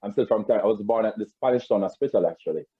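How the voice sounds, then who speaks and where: A man's voice: lowish voice, fast talker, breathy edge, no room sound. A man with a Jamaican accent, a guest in a casual interview, telling stories about growing up, rambling with frequent restarts over a video call. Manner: rambling, many restarts and repeated words. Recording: tinny phone-like call audio.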